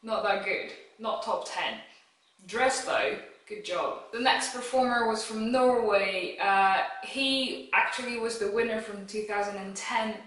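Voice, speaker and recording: A woman talks casually straight to camera, blunt and chatty, leaning on "like" fillers. Her voice sits at a middle pitch for a woman. It is recorded a little away from the mic in a strongly echoing room. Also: measured pace, fairly bright tone. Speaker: vlogger. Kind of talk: casual reaction chat to camera. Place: at home alone. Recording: very echoey room, a bit far from mic.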